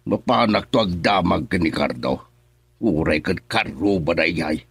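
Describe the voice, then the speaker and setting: A man's voice: low-pitched, expressive, a little slow, gravelly, fairly bright tone, husky, no room sound, exaggerated voice. An actor playing a stern, troubled middle-aged father in Ilocano radio drama, with a Filipino accent. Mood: stern, upset.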